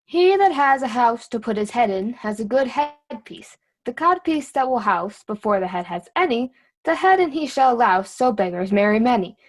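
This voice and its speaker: A woman plays a witty court fool in a Shakespeare drama. The voice is fairly high, with a wry, riddling, sing-song delivery. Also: expressive, moderate pace. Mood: mocking, riddling. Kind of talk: theatrical Shakespearean reading with rhymes.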